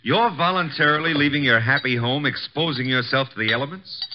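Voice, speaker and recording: An actor playing a breezy, sardonic detective's assistant and narrator in a 1950s American radio drama, slangy and teasing. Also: male voice, medium pitch, a little fast, natural voice. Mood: wisecracking, teasing. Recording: dull, noisy 1950s radio recording.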